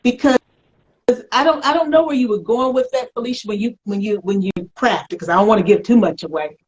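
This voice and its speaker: A woman hosting a conversational faith-themed interview, speaking in an emphatic, reflective way with drawn-out phrases. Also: on the high side, husky, muffled recording. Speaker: talk show host.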